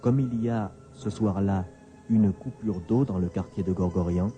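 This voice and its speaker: A man narrating a radio drama as a scripted story, in deliberate short phrases with suspenseful pauses. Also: sits low, mellow, soft background music.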